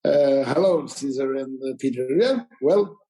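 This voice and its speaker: Croatian-accented man, gravelly and halting. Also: medium pitch, expressive, dark tone, low-quality recording. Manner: halting, many fillers and restarts. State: tired. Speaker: guest coach. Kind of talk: casual conversation. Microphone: video call.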